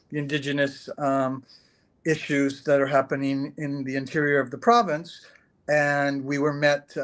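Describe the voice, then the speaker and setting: A man from Canada, a podcast guest, giving long explanations full of ums and uhs. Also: a little high. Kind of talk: casual conversation.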